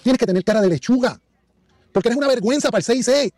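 High-voiced, accusatory man. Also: fast talker, darkish tone, no echo, studio quality. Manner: accusatory and confrontational. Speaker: commentator in a played clip.

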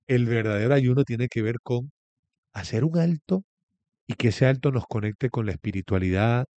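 A man's voice: mid-range voice, normal speed, dark tone, no room sound, highs cut off. A man with a Venezuelan accent giving a reflective religious talk as a guest, repeating key phrases for emphasis.

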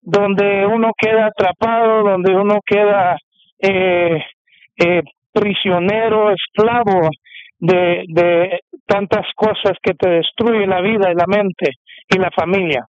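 Guest, male, from the US; high, unhurried, halting testimony on a muffled radio feed. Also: steady tone, smooth, no room sound. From United States. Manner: halting, many fillers and restarts. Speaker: interview guest. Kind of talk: testimony interview. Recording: dull muffled radio sound.

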